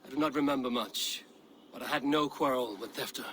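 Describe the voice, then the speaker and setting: A man's voice: medium pitch, brisk, a crowd in the background, natural voice. A man playing a proud, blunt Norse warrior in a video game, speaking in terse, formal phrases. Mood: earnest. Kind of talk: dramatic video game acting.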